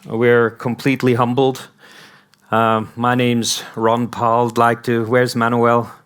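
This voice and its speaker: Slow-speaking man giving a conference speech. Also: medium pitch, completely flat delivery, clean tone. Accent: American. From Hong Kong. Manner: slow, rhetorical, repeating "what if" questions. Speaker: company founder giving an event talk.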